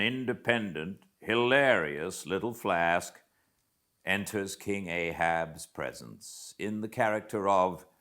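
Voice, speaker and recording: British male audiobook reader, low and unhurried, theatrical literary delivery with weighty pauses. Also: pushed and bright. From Britain. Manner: theatrical, deliberate phrasing with dramatic pauses. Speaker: audiobook narrator. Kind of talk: expressive literary audiobook narration.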